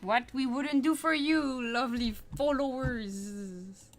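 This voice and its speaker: Bubbly higher-voiced woman streaming. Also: slow pace, over music. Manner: bubbly, laughing often, trailing off quietly. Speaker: artist and YouTuber, co-host. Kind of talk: casual chat on a livestream.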